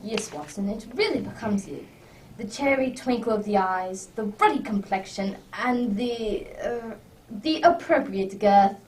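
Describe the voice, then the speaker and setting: A woman playing a wry, urbane English gentleman detective in a radio drama, teasing and precise in old-fashioned phrasing. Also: medium pitch, measured pace, slight background noise, close to an everyday voice. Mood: amused, teasing. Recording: distant microphone.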